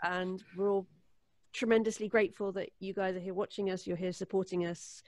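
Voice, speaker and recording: A woman's voice: mid-range voice, steady tone, a little fast, darkish tone, no room sound. A woman with an English accent, a performer, talking casually and informally to viewers on a live stream. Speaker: voice actor and podcast performer. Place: indoors at home, remote group call.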